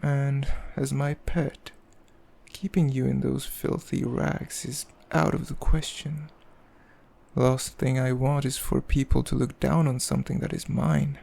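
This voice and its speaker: A man playing a cruel, possessive demon king in an ASMR roleplay, speaking in a haughty, mocking, measured way with a gravelly voice. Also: medium pitch, little pitch movement, a little mellow. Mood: possessive amusement. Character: cruel, possessive male demon king, coldly amused, condescending. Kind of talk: ASMR roleplay, slow intimate villain monologue.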